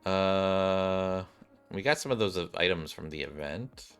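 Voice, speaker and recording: Male game streamer, deep register, casual rambling mutter, game music underneath. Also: a little slow, fairly bright tone, clear, resonant tone. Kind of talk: casual gameplay commentary.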